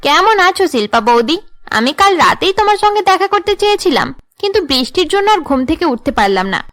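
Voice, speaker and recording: A woman voicing haughty, scheming young women in a cartoon drama, with an imperious, sharp-tongued way of talking. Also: very high voice, big pitch swings, brisk, full character voice. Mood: smug, gossipy. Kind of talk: Bengali cartoon drama dubbing.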